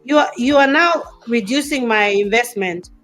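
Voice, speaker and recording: A woman in her fifties hosts a casual talk show, her voice sitting a little high. She teases and reads viewer comments with animated energy. Soft music plays underneath. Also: moderate pace. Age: 50s. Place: in America, away from her home country.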